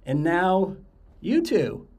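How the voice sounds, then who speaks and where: A man's voice: high-pitched, sing-song, extremely slow, warm tone. A man hosting a podcast, chatting casually with guests and often repeating words as he restarts. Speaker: podcast host.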